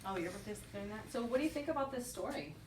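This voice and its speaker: A woman reading a picture book aloud to young children, pausing to question them and repeat their answers back. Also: medium pitch, expressive, a little mellow. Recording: roomy echo, distant mic, faint noise.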